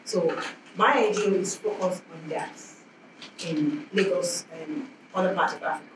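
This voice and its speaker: A woman's voice: fairly low pitch, moderate pace. A woman from Nigeria who runs an education NGO, speaking earnestly and with emphasis at a conference. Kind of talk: conference self-introduction. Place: conference hall. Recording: echoey hall, distant mic, noisy room.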